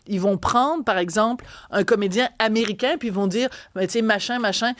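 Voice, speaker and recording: A woman with a Québécois French accent co-hosting a talk segment, telling a story in a chatty, animated way with many restarts. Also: medium pitch, a little fast, no room sound, clean studio sound. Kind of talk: casual chat on a radio and TV show.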